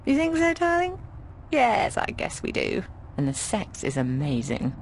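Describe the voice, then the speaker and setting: A woman's voice: medium pitch, huge pitch swings, measured pace, a little hiss or hum. An actress playing an affectionate, frank, middle-aged British boarding-house landlady in a video game. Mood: happy, candid. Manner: affectionate, frank, cheeky, often calls people darling.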